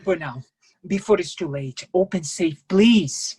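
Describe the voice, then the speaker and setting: A man's voice: high voice, expressive, a little slow, a little mellow, husky, exaggerated voice. A man playing a desperate foreign fugitive masseur in a staged play reading, pleading in clipped broken English.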